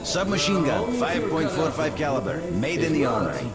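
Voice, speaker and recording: A man playing a friendly, brisk underground survivor in a video game, matter-of-fact and folksy in his talk. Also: on the high side, wildly swooping pitch, over loud music. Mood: businesslike, helpful. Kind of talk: video game character dialogue.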